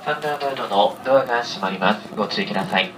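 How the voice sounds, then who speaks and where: A man's voice: on the low side, very fast, recorded from a distance. A man working as a train conductor, making formal, polite announcements over the onboard PA. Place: inside a moving train, over the PA. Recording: echoey train PA, loud running noise. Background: loud train noise.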